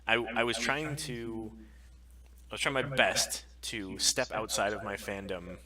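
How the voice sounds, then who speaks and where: A man's voice: fairly low pitch, measured pace, recorded from a distance, crowd noise. A man co-hosting a casual livestream podcast, talking emphatically and freely. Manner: emphatic, frequent swearing. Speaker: podcast co-host.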